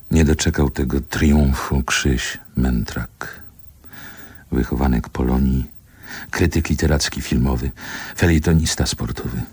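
An older male actor playing a reflective poet recalling his youth in a radio drama, speaking in a measured literary manner. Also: very deep voice, a little mellow, slightly rough, dry recording, full character voice. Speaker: narrator and protagonist.

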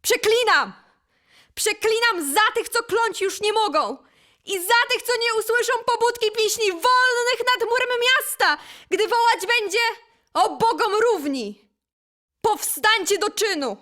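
A woman reciting poetry for an audio drama in an intense, solemn, deliberate manner. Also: extremely high pitch, lively intonation, sharp tone. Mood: impassioned. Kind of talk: dramatic poetry recitation, from whisper to impassioned cries. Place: recording studio.

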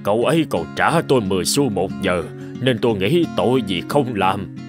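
Man playing a humble, low-voiced old beggar. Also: lively intonation, normal speed, close to an everyday voice. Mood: humble, subdued. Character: humble old male beggar posing as painter's model. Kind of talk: dubbed cartoon drama. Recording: music behind the voice.

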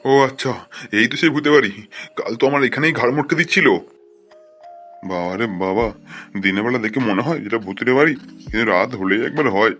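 Man playing a fast-talking flustered cartoon coward. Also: mid-range voice, expressive, sharp tone. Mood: bewildered. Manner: stammering, rambling, pleading. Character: flustered cowardly boss pleading with a ghost. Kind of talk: amateur comic cartoon dub. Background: faint background music.

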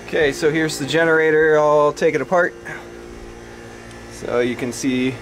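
A man in his thirties talks plainly through a do-it-yourself repair on his boat, wearing a head-mounted camera. His voice sits at an ordinary middle pitch. A clear steady hum of machinery fills the cramped engine room around him. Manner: plain, matter-of-fact explaining while working. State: sweating and out of breath. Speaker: boat owner showing a repair. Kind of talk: casual DIY narration.

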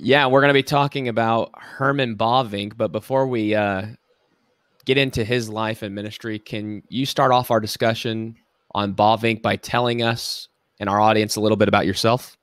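A man's voice: on the low side, fairly even intonation, a bit bright, smooth, no echo, studio quality. A man hosting a podcast, welcoming his guest politely and asking questions with some ums and uhs. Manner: polite, a bit halting, with uh and um. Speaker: podcast host. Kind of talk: casual interview hosting.

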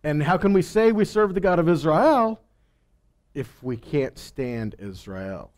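High-pitched middle-aged man preaching. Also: big pitch swings, measured pace, darkish tone. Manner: rhetorical questions with frequent check-ins like "okay?" and "right?". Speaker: preacher, Bible teacher. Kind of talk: sermon teaching. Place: indoor stage in a meeting hall. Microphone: headset.

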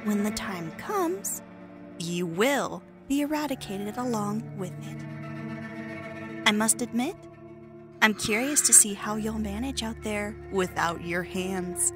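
A woman voicing a cruel, mocking young villainess in a comic dub, with a taunting, menacing, drawn-out delivery. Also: medium pitch. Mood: gloating, taunting. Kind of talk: dramatic comic dub acting. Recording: music behind the voice.

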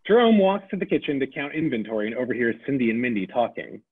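Male narrator for a table read, lively husky delivery, tinny phone-quality sound. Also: mid-range voice, quick pace. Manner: amused, laughs between directions. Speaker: table read narrator reading stage directions. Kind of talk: reading a comedy script aloud.

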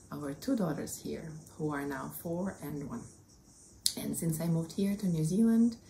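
A woman's voice: sits low, mellow, a little hiss or hum, low-quality recording. A woman in her thirties with a Russian accent, hosting a crafting podcast in a friendly chatty way, recorded indoors at home on the camera mic. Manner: friendly, chatty, gestures with both hands. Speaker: podcast host. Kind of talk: casual chat to camera. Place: indoors, small home room.

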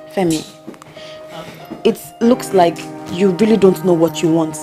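An actress playing a concerned, insistent friend with a Nigerian accent, speaking frankly and reproachfully. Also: female voice, lowish voice, takes it slowly, dark tone, soft background music. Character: frank, concerned female friend confronting a man. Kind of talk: film drama dialogue.

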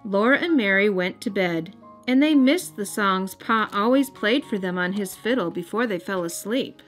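An American woman narrates a children's storybook in a gentle, careful way. Her voice sits at a middle pitch, with music playing underneath. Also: animated, normal speed. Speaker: children's storybook reader and host. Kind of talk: scripted read-aloud narration.

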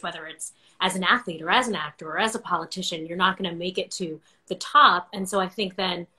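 A woman's voice: fairly low pitch, bright, forceful tone. A woman in her forties hosting a conversational interview, reflecting and summing up as she goes. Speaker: interview host. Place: indoor home room with bookshelves, video call.

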